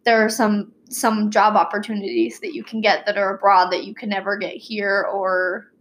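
A young woman and college student co-hosting a casual podcast, talking relaxed with many fillers. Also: mid-range voice, moderate pace, a little hiss or hum. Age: young adult. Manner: relaxed, fills with "um" and "like". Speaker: student co-host.

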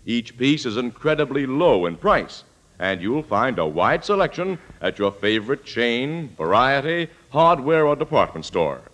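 Male announcer, 1940s radio commercial, polished anecdotal sales delivery, highly varied intonation, mid pitch. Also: very animated, unhurried, fairly bright tone.

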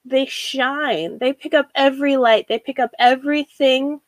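A woman from Washington state with an American accent, giving a reflective personal monologue in a halting way with emphatic repeated phrasing. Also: high-pitched, steady tone, no room sound. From Washington state, United States. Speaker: speaker sharing a personal story.

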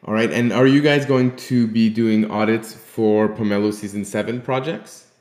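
A man's voice: lowish voice, fairly even intonation, moderate pace, a little mellow. Roomy-sounding male podcast host. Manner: friendly, filler-heavy interviewer. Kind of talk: casual podcast hosting. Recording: roomy echo.

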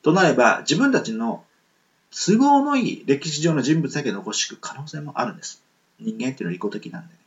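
Animated, gravelly man hosting a podcast. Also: medium pitch, fast talker. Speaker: solo podcast and radio show host. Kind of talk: casual reflective monologue to listeners. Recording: muffled lo-fi, soft guitar music behind. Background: plucked guitar music.

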